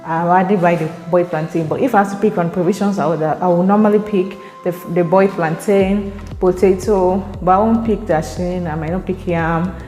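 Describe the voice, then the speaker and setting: A woman's voice: fairly low pitch, steady tone, brisk, dark tone. Chatty Nigerian woman in an echoey room. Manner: chatty, laughs often, repeats words while thinking. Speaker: vlogger. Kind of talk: casual vlog to camera. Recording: echoey room, faint music.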